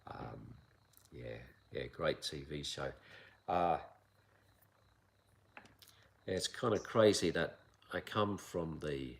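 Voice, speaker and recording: A man of around sixty from England, accent softened by years in Asia, answering viewer questions in a calm, measured live chat as a kung fu teacher. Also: very deep voice, vocal fry. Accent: English accent softened by long years in Asia. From south London, England. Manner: calm, measured, unhurried with frequent pauses. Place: outdoor mountain training area.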